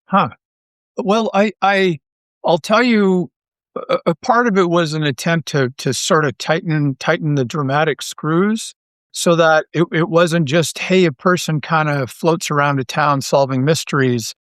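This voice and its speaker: A Canadian man with a fairly high voice talks casually as a podcast guest. He restarts and doubles back on his words while telling stories, and the sound is close and dry. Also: no echo. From Toronto, Canada. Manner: animated storyteller, halting self-interruptions and repeated words. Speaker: podcast guest, audio drama writer. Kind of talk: casual interview chat.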